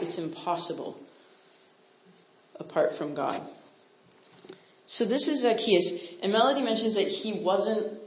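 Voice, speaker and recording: A woman's voice: lowish voice, darkish tone. A woman from Canada, a missionary speaker, teaching a casual Bible lesson. She quizzes her audience and talks in a chatty way, with many "like" and "right?" asides. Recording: roomy echo.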